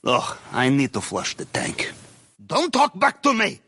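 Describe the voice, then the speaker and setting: An actor playing a jovial, deferential Russian submariner in a video game, speaking courteous, slightly broken English with a Russian accent and wry dark humour. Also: male voice, on the high side, huge pitch swings, unhurried, creaky, husky, no room sound, studio quality, heavily acted. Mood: muttering to himself, then exasperated. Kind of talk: video game character dialogue.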